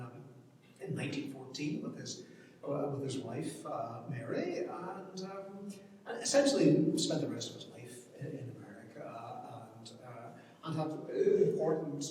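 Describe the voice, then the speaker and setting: Halting male lecturer in a very echoey hall. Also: medium pitch, a little mellow. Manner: halting, hesitant, frequently repeating words while thinking aloud. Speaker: panel speaker on literature. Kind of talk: informal literary lecture, speaking off the cuff. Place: large reverberant indoor event space. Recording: distant, very echoey hall with long reverb.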